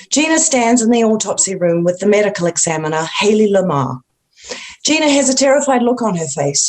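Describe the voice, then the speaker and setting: A woman narrates stage directions for a horror script. Her voice sits at a middle pitch, and she reads in a scripted narrator's style. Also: darkish tone.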